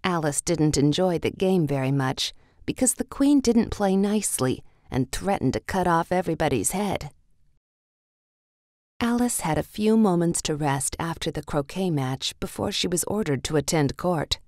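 A woman's voice: fairly low pitch, expressive, moderate pace, a little mellow, no room sound, studio quality. A woman narrating a children's read-along storybook in a scripted, story-reading style.

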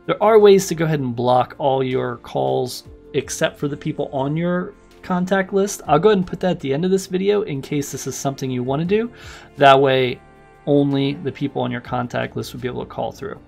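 A man's voice: mid-range voice, fairly quick, vocal fry, darkish tone. A man, hosting and narrating a casual tech tutorial. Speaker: tutorial host. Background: background music.

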